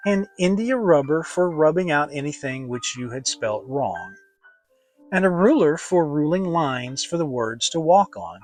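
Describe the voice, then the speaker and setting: A man's voice: fairly high pitch, poor audio. A man giving a dramatic narration of a children's story, as an audiobook reader.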